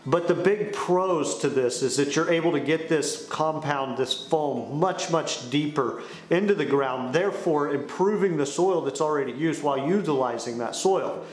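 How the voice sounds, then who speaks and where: Higher-voiced young man in an echoey room. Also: moderate pace, few pauses. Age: young adult. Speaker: sales director presenting a company explainer video. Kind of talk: scripted explainer to camera. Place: small indoor studio with dark wall panels. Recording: roomy echo on the voice.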